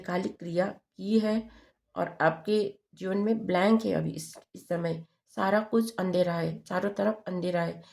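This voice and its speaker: A woman reading tarot cards to camera, hoarse from a sore throat, speaking haltingly with many fillers. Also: mid-range voice, a little mellow. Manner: slow, halting, many fillers and tag questions. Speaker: tarot reader.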